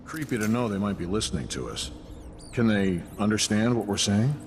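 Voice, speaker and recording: Uneasy low-voiced guard. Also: male voice, expressive, a little fast, background noise. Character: uneasy male guard, ordinary and wary. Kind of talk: video game background dialogue.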